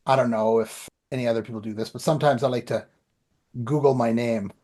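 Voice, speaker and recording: A man's voice: mid-range voice, steady tone, fast talker, mellow. A man with a Canadian accent, from the Ottawa area, chatting casually as a podcast co-host in a rambling, self-deprecating way. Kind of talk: casual chat, personal updates.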